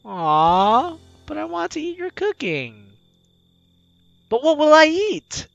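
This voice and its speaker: Animated, bright-voiced game video host. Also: high-pitched, slow, vocal fry, no echo, highs cut off, faint music behind. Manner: animated, teasing, exclamatory. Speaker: let's-play video host. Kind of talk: playful game commentary and reading aloud.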